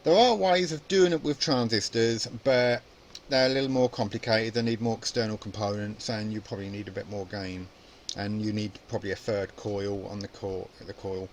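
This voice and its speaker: A man's voice: lowish voice, fairly even intonation, normal speed, slight background noise. A man presenting an informal hands-on electronics tutorial, explaining casually and thinking aloud as he goes. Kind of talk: informal spoken tutorial demonstration.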